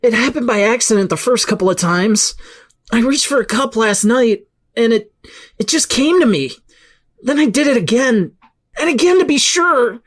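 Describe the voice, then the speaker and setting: A woman playing a rattled, excitable teenage boy with superpowers in an audio drama, speaking emotionally in casual slang. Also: a little high, moderate pace. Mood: weary, confiding.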